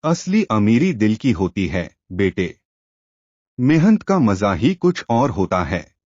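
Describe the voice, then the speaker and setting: A man voicing a stern rich father, deep and lecturing. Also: very animated, slow, a little mellow, dry recording, muffled recording, exaggerated voice. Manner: firm, lecturing. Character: stern wealthy father lecturing his son. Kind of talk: animated storybook acting.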